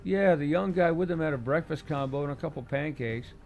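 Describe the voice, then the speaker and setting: A man's voice: a little high, brisk, darkish tone, soft background music, voice pushed up, close to an everyday voice. A man putting on a chatty waitress. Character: young woman waitress, chatty.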